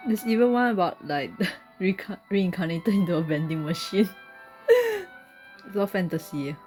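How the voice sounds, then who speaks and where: Low-voiced woman playing a game. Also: moderate pace, darkish tone. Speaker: gamer playing and commenting. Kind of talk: casual gameplay commentary and reading text aloud. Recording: game music behind the voice. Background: game music.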